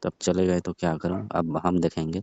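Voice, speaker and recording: A man's voice: low voice, little pitch movement, normal speed, gravelly, darkish tone, no echo. A man playing a jealous young lover in a phone call drama, sulky, curt and full of complaints. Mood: stubborn. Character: sulking, jealous young lover of a married woman. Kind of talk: scripted prank-style phone call drama in colloquial Hindi. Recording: dull lo-fi phone call recording.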